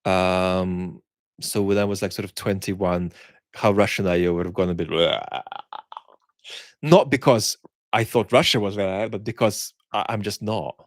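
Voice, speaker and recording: A man hosting an online chat, talking reflectively and conversationally in articulate, opinionated phrases, often pausing and restarting words as he searches for the right one. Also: low-pitched, no room sound, clean studio sound. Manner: halting, searching phrasing with repeated word starts. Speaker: host of a live chat for an online community.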